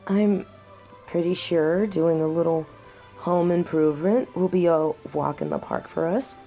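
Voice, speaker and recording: A young woman playing a devoted half-wolf heroine in a romantic audio drama, speaking earnestly and tenderly with halting pauses, as if to one loved person. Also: lowish voice, creaky, dark tone, faint music behind, low-quality recording. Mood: hopeful, shy. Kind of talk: romantic audio roleplay acting, intimate one-sided dialogue.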